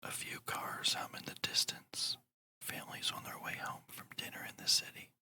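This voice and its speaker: Whispering ASMR host, bright sibilant edge, breathy, soothing, deliberate, guided meditation narration. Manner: slow, soothing, deliberate guiding.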